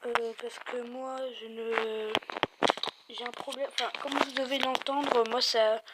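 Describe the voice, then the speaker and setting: High-voiced woman with a southern French accent. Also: little pitch movement, measured pace. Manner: rambling, chatty, many hesitations. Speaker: let's play host. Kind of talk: casual gameplay commentary. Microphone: phone microphone. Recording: loud noise on a glitchy phone mic.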